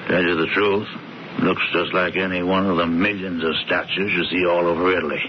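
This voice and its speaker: A man's voice: low-pitched, steady tone, brisk, lo-fi sound, a little hiss or hum, lightly acted. An actor playing a stern, businesslike middle-aged father, blunt and pompous, in a 1970s radio drama. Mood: stern, dismissive. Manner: blunt, pompous, clipped bursts. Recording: band-limited old radio broadcast, music behind.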